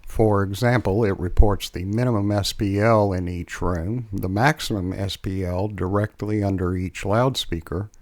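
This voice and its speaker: A man presenting a software demo as scripted tutorial narration, in a measured, explanatory way on a close mic. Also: lowish voice, fairly even intonation, no room sound.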